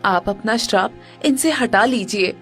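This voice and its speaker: A woman's voice: mid-range voice, measured pace, faint music behind, lightly acted. A woman playing a sage's principled, grieving disciple, firm and earnest, in a Hindi cartoon moral story. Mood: pleading.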